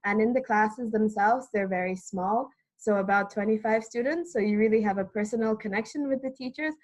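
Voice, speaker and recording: A woman's voice: medium pitch, low-quality recording. An eighteen-year-old woman from Ireland presenting a webinar as a student, upbeat and promotional.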